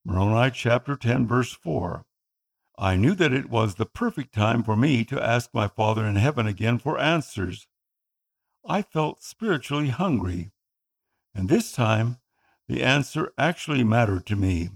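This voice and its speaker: Man, Tagalog speaker, slightly gravelly, earnest narrator for a scripted personal story. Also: medium pitch, expressive. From Philippines. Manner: earnest, measured reading.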